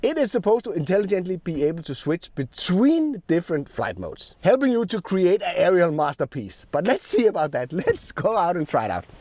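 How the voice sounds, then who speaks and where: A man with a fairly high, animated voice walks through a drone demo step by step, dropping in small fillers as he goes. His voice is a little worn because he is sick. The sound is dry and close, with almost no room around it. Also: brisk, hardly any pauses, dry recording. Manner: plain step-by-step explaining with filler ums. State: sick, voice a bit rough. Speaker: drone tech reviewer. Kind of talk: hands-on product demo. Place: outdoors in the cold.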